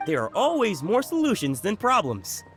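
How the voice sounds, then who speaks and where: High-pitched, reassuring townsman. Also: huge pitch swings, normal speed, fairly bright tone, soft background music, heavily acted. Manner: reassuring, emphatic. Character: supportive, reassuring male bazaar townsman. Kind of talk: video game voice acting.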